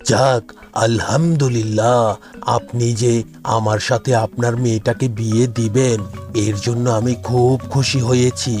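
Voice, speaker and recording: Rich, swaggering thug wooer for a cartoon, acted by a man: medium pitch, ingratiating, over music. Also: steady tone, a little slow, darkish tone, natural voice. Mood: pleased, boastful. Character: boastful, wheedling rich thug suitor in a cartoon. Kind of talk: cartoon dialogue dubbing.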